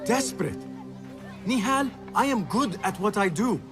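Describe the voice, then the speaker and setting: A man's voice: high-pitched, animated, measured pace, over music. An actor playing an ambitious, cocky young male street thief in a video game cutscene, eager and self-assured. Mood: defiant, proud. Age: young adult.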